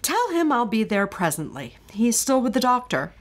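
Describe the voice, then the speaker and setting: An actress playing a cold, scheming wealthy wife in an old-time radio drama, haughty and scolding. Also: female voice, fairly high pitch, sing-song, normal speed. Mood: scornful.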